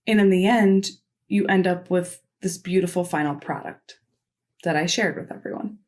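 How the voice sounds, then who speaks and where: A woman voicing a signed conference keynote into spoken English, delivering it in short, measured phrases with pauses. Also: lowish voice. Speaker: sign language interpreter voicing a keynote. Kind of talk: simultaneous interpretation of a conference talk.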